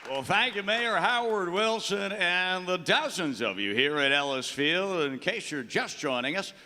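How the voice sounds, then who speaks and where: A man's voice: high voice, animated, a little slow, pushed and bright, exaggerated voice. A man playing a chipper, folksy veteran baseball play-by-play announcer in a live radio play. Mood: upbeat, folksy. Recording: echoey town hall stage reverb.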